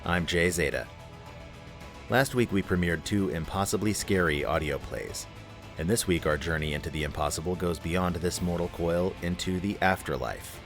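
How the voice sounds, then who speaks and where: A man's voice: low voice, moderate pace, a bit bright, faint music behind. A man hosting an audio play festival, delivering a scripted introduction. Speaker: festival host.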